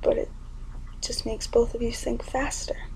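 A young woman performing spoken word poetry in an intimate, often whispered delivery with a gravelly edge. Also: on the high side, little pitch movement, a little slow, a little mellow, slight background noise, rough recording.

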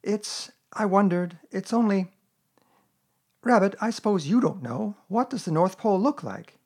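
A man's voice: high-pitched, lively intonation, unhurried, darkish tone, pitched above the performer's own voice, full character voice. A man voicing an earnest, kindly young boy with a light manner in a storybook reading.

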